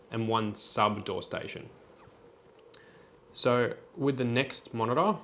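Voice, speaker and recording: A man presenting an unscripted software tutorial in a plain, step-by-step instructional way. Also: medium pitch.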